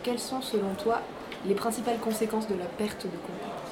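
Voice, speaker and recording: A woman's voice: medium pitch, brisk, background noise. A woman interviewer reading out her questions.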